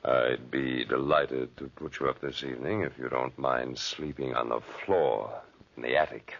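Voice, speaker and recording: A man playing a mysterious backwoods cabin dweller in a 1940s radio drama, ominously polite, slow and deliberate, with a gravelly voice. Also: extremely low pitch, steady tone, fairly bright tone, exaggerated voice. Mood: ominously courteous. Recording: dull lo-fi 1940s radio recording.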